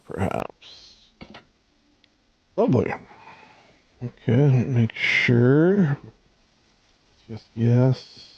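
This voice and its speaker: A hoarse man mumbling to himself as he plays a game, thinking aloud and trailing off, speaking close to a desk mic at home. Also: medium pitch, mellow, poor audio. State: hoarse, losing his voice. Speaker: gaming streamer.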